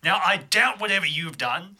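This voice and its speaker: A man playing a bumbling, breezy, forgiving police chief in an improvised audio drama, talking in a halting, distracted ramble. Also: high voice, lively intonation, pushed and bright, slightly rough, heavily acted. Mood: breezy, encouraging.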